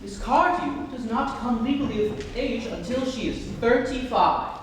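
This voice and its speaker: Young woman playing stiff, formal gentleman, very echoey. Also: on the high side, lightly acted. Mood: firm. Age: young adult. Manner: formal, measured, earnest. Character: formal, stubborn, indignant gentleman guardian in Victorian comedy. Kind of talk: dramatic reading of stage comedy. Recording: echoey noisy hall from far off.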